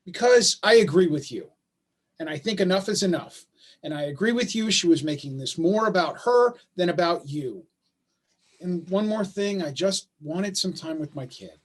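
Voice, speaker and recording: A male actor plays an earnest, baffled father in a naturalistic stage drama. His voice sits high for a man. He speaks haltingly and puts himself down as he tries to keep the peace. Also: lively intonation. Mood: conciliatory. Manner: halting, earnest, self-deprecating. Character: baffled, conciliatory working-class father of a gifted son. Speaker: stage actor in a play reading. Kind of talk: staged play reading, naturalistic dialogue. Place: remote video call.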